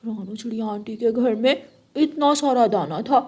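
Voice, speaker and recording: High-pitched woman narrating a story. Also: moderate pace, warm tone, slightly rough. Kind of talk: scripted children's-story narration.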